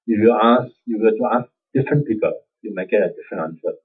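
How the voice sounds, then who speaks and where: A man's voice: lowish voice, fast, husky. A man with a Singaporean accent giving an informal Buddhist dharma talk, conversational and self-deprecating, chuckling often. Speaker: Buddhist teacher. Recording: dull lo-fi recording.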